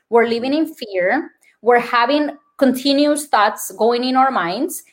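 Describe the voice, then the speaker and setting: Woman, Colombian accent, fairly high voice, earnest, explanatory, interview guest. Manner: earnest, explanatory, lists points. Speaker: nurse and wellness speaker. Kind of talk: podcast interview.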